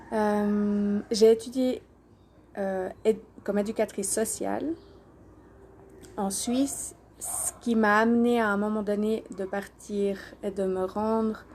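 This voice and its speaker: A woman's voice: medium pitch, darkish tone, slight background noise. A woman from Switzerland and Portugal introducing herself informally on a live stream, speaking hesitantly and searching for her words. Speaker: doula and alternative therapy practitioner hosting a live stream. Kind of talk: informal self-introduction on a social media live.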